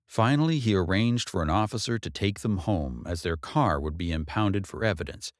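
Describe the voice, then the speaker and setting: Deep-voiced man narrating a novel, expressive range. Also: normal speed, no echo, clean studio sound. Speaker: audiobook narrator.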